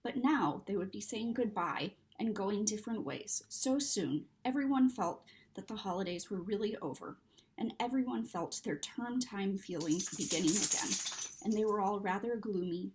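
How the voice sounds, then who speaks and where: A woman reading a children's story aloud in an expressive, dramatic narrating style. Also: mid-range voice, rough recording. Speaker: story reader.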